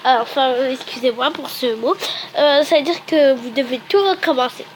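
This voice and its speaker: A high-voiced woman with a cold and a sore throat walks viewers through a chatty, rambling app tutorial and keeps correcting herself. Faint noise sits under her voice. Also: moderate pace. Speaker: YouTuber. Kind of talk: casual how-to tutorial.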